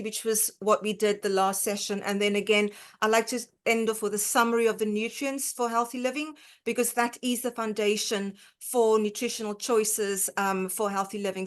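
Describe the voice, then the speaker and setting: A woman from South Africa with a South African accent, a dietitian giving an online lecture, often pausing with fillers and restarting her sentences. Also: mid-range voice, fairly even intonation. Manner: hesitant, many fillers and restarts.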